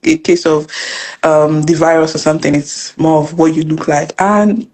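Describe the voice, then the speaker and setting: A young woman from Nigeria with a Nigerian accent, telling her story as an interview guest in an earnest, animated way. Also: low voice. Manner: fast, earnest, animated storytelling with repeated phrases. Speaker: guest, financial educator and business coach. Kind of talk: casual interview conversation.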